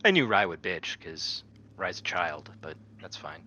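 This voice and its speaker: A man's voice: low-pitched, very animated, measured pace, sharp tone. A man playing a tabletop game, talking through it casually in a blunt, argumentative way.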